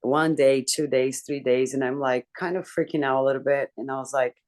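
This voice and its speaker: Deep-voiced woman telling her story. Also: fairly even intonation, brisk, darkish tone. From Brazil. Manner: earnest, candid, reflective storytelling. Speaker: book club member. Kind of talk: personal story in a group discussion.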